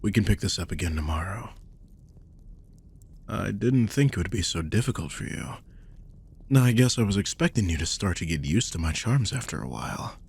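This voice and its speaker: A man playing a grumpy, sardonic old vampire mentor in an intimate audio roleplay, husky and informal, prone to swearing. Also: fairly low pitch, exaggerated voice. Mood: patient, gently coaxing.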